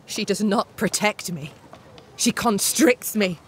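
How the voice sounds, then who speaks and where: Game role: resentful, blunt young settler woman, played by an actress, measured delivery, scornful edge. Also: medium pitch, slight background noise, lightly acted. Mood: bitter. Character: bitter, proud young woman camp settler, resentful of her sister. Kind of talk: dramatic game cutscene acting.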